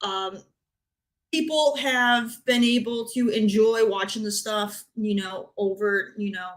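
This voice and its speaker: A woman's voice: mid-range voice, slow. A woman speaking informally as a panelist on a gaming talk show, giving opinions in a blunt, emphatic way.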